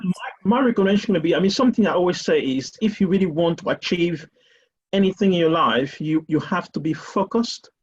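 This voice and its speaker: A man from Cameroon talks on an online panel in a fairly high, breathy voice, stumbling over repeated words and fillers as he gives advice. His audio comes through a muffled, lo-fi video call. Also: brisk. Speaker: university lecturer guest. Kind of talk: casual panel discussion.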